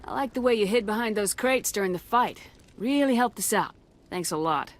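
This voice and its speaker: An actress playing a tough, blunt military commander in a video game, questioning people in a curt, confrontational manner. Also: female voice, mid-range voice, expressive, normal speed, lightly acted. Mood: scornful. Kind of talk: video game dialogue acting.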